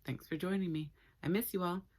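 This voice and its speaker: A woman reading a story aloud for children in an expressive, playful way with dramatic pauses. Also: lowish voice, husky.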